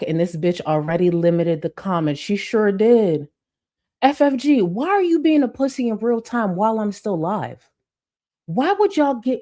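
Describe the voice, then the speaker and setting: A woman's voice: lowish voice, darkish tone. A woman hosting a live commentary stream, reading chat and mocking her target with heavy sarcasm and swearing.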